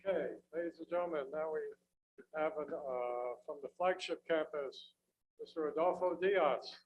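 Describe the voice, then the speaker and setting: Male event announcer, slightly high voice, muffled recording with faint noise. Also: moderate pace. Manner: introduces the next contestant to the audience. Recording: dull lo-fi sound with faint noise.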